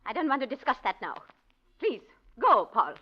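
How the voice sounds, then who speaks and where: An actress playing a bitter former dancer bent on revenge, in 1940s radio acting. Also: female voice, a little high, very animated, normal speed, slightly rough. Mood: guarded. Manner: intense, rising to screams. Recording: dull old radio recording.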